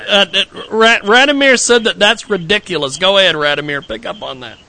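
Bright, high-pitched male radio moderator. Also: brisk, gravelly, no room sound. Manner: directing turns, repeating guests' questions. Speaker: talk show host moderating a panel. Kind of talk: live radio panel discussion.

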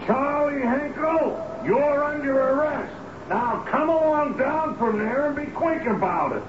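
An actor playing a stern, impatient police sergeant in a radio drama, barking commands. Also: male voice, very high-pitched, slow pace, background noise. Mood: commanding. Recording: muffled old radio broadcast, echoey, music behind.

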